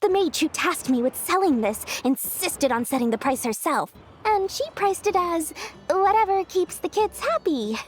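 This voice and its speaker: A woman's voice: very high voice, lively intonation, moderate pace, slight background noise. A woman voicing a cheerful, theatrical female merchant in a video game, with showy salesmanship. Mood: coy, wistful. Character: perky, high-voiced female merchant, cheerful theatrical seller. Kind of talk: animated video game acting, sales pitch. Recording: faint music behind.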